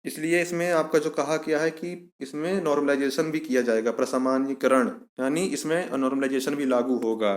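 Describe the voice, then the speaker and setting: A man's voice: mid-range voice, steady tone, a little fast, few pauses. A man giving an instructional walkthrough of an official notice, repeating key points for emphasis. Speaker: exam information channel host. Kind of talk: explanatory walkthrough of a recruitment notice.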